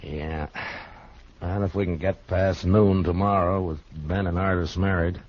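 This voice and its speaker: An American actor playing a calm, firm frontier marshal in a 1950s western radio drama, speaking with measured authority. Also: male voice, low-pitched. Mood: relaxed. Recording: muffled old radio recording, background hiss.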